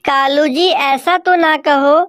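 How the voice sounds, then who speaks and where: A woman voicing a gentle, soft-hearted female crow wife in a children's cartoon, speaking respectfully and pleadingly. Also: sits high, fairly even intonation, slow, no room sound, studio quality. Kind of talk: Hindi children's cartoon dialogue.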